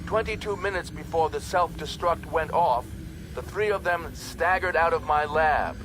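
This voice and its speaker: A man's voice: fairly high pitch, normal speed, noisy background, voice pushed up, natural voice. A man voicing a harsh, commanding female alien scientist in an audiobook, clipped and explanatory. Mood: grim, businesslike. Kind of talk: audiobook character acting.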